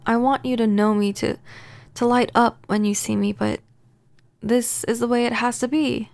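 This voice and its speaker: Soft, creaky lovelorn vampire played by a woman. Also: a little high, fairly even intonation, no echo. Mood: pained, yearning. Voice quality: soft-spoken. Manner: intimate, halting, confessional. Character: lovelorn, possessive female vampire neighbor, gentle, hesitant, pining. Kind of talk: soft-spoken ASMR roleplay.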